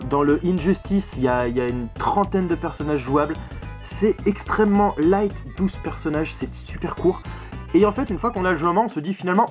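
Emphatic young man with a higher-pitched voice. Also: a little mellow. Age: 20s. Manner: opinionated, emphatic, repeats words and swears. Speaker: video game collector and reviewer. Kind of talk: casual vlog game review. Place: small bedroom at home, indoors.

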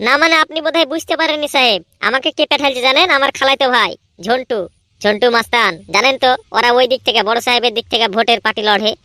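A woman's voice: fairly high pitch, fast, pushed and bright, no echo. A woman voicing a boastful young village lad in a comedy cartoon, with colloquial, argumentative speech. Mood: wheedling. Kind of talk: Bengali comedy cartoon voice acting.

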